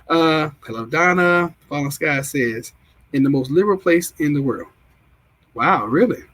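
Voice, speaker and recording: A man with a drawl talks loosely to his livestream viewers. His voice is husky and sits a little high, and he circles back and restarts his sentences as he goes. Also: lively intonation, unhurried, long pauses, a bit bright. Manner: rambling, repeats himself, restarts often. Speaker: livestream host. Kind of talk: casual livestream chat, reading viewer comments.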